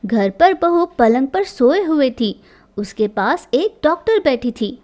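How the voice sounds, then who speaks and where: Slow high-voiced woman storyteller. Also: very animated, a little mellow. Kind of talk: scripted story narration.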